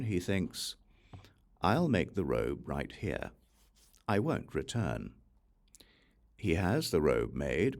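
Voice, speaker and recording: Male audiobook reader for scripture: low, creaky voice, varied intonation, deliberate scripted delivery. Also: darkish tone. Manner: measured, deliberate reading. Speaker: audiobook narrator. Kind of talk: scripted narration of a religious text.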